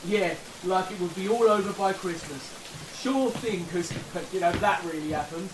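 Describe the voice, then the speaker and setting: A man's voice: high-pitched, moderate pace, close to an everyday voice. An actor playing a restless young male soldier in a wartime radio play, impatient to get home. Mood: impatient, sardonic. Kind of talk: period radio drama acting. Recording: roomy, noisy with rain-like sizzle.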